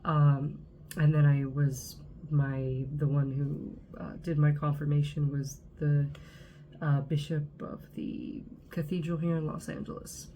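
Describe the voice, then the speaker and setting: A woman's voice: deep voice, flat delivery, measured pace, mellow, a little hiss or hum. A woman in her twenties, from Southern California, congested with a cold, talking casually to camera with frequent check-ins. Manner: chatty, frequent "okay?" check-ins and fillers. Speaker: channel host.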